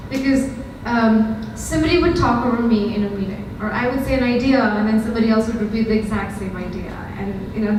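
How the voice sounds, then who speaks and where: A young woman from India with an Indian accent, giving a candid, conversational talk to a live audience with frequent ums. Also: a little high. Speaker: startup founder giving a talk. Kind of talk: storytelling lightning talk. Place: event hall with audience. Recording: very echoey hall from far off, noisy.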